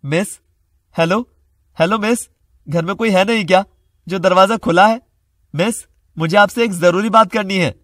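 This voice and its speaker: An actor playing a caring, playful young male student in love, coaxing gently in a cartoon story. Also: high-pitched, normal speed, pauses often, no echo, studio quality. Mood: calling out.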